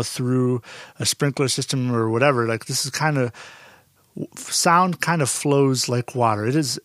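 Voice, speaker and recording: Dark-voiced man explaining sound mixing. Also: medium pitch, no echo, studio quality. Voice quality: naturally dark voice. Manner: relaxed, conversational, frequent fillers and restarts. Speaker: audio instructor. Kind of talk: casual explanatory lesson.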